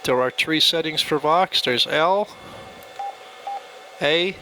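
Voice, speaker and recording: A man with a bright, forceful voice pitched a little high walks through a demonstration. He explains things step by step in a casual, plainspoken way, with faint noise behind him. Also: takes it slowly. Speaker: product demonstrator. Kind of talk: casual hands-on tutorial.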